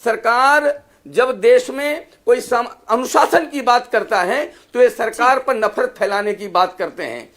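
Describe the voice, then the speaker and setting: A man's voice: very high-pitched, unhurried, fairly bright tone. A man speaking as a panelist in a political TV debate, emphatic, repetitive and accusatory. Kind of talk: polemical political debate speech.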